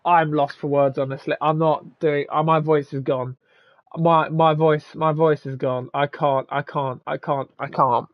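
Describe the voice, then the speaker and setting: A man who commentates races, his voice hoarse and strained from shouting, rambling and stammering through a casual wrap-up. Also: on the high side, flat delivery, measured pace, dry recording. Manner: stammering, rambling, restarts often; swears, laughs at himself. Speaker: race commentator. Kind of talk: casual post-race wrap-up. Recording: dull lo-fi mic.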